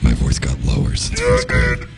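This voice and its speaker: A man with an extremely deep, gravelly voice, joking deadpan as a band guest in a studio interview. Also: moderate pace, faint music behind. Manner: deadpan, plays up his low voice.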